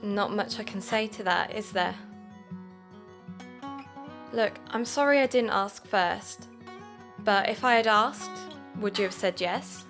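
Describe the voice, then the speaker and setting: A woman's voice: mid-range voice, slow pace, long pauses, music in the background. A woman playing an anxious but determined young podcaster in an audio drama. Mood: subdued, hurt.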